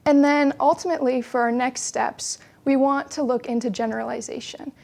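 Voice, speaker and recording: A young woman with a fairly high voice and pronounced vocal fry presents research as a student. She explains things step by step in plain, orderly phrasing. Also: steady tone, brisk, darkish tone. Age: young adult.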